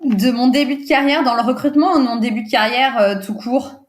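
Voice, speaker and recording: A woman in her thirties whose first language is Portuguese chats on a podcast in a slightly high voice. She hesitates often, fills pauses and laughs readily as she remembers. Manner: hesitant, many fillers, laughs easily. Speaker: podcast guest, recruiter. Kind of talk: casual interview chat.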